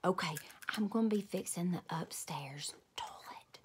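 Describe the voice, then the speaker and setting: A woman's voice: fairly low pitch, a little slow, slight background noise, close to an everyday voice. A playful grandfather from a children's storybook, acted out by a woman. He is folksy and teasing, talking like a co-conspirator to a small child. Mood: secretive, hushed.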